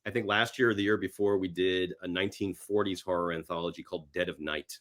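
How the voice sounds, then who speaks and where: A man with a fairly low voice speaks as a guest in a relaxed interview. His delivery is conversational and unscripted, with a self-deprecating, joking streak. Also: fast talker. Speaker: podcast guest; actor and screenwriter. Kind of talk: casual interview chat.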